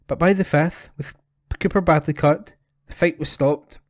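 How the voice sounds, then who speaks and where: A man's voice: fairly high pitch, steady tone, normal speed, dry recording, studio quality. A man reading scripted news items as a volunteer for a talking newspaper. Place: at home.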